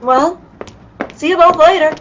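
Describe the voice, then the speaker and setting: A woman's voice: extremely high pitch, a little slow, fairly bright tone, a little hiss or hum. An actress playing an earnest, helpful young woman assisting a detective in an old-time radio play. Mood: eager, helpful. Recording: dull, lo-fi call audio.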